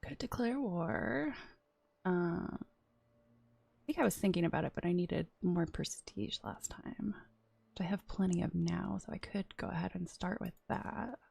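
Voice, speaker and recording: Husky, gravelly-voiced woman streamer, sniffly. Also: lowish voice, a little slow, no room sound. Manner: chatty, drifting into soft whispered asides. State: sniffly, runny nose. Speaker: game streamer. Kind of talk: casual gameplay commentary.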